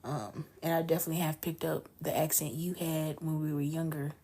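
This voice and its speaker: Low mellow-voiced woman co-hosting a chat show. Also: little pitch movement, brisk, slightly rough. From North Carolina. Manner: chatty, reads news items then riffs on them. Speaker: podcast co-host. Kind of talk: casual chat and news reading.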